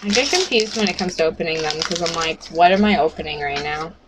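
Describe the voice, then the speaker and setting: A woman's voice: deep voice, fast talker. A woman in her thirties whose first language is not English, co-hosting a card-opening video at home, giggly and teasing. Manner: giggly, teasing, frequent laughs. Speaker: card-opening channel co-host. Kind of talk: casual chat while opening cards. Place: home room with cards on a table. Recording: noisy home camera recording.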